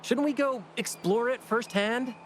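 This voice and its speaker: A man's voice: very high-pitched, a little slow, a bit bright, a little hiss or hum. A man voicing a frustrated, idealistic young student in a video game. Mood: disappointed, indignant.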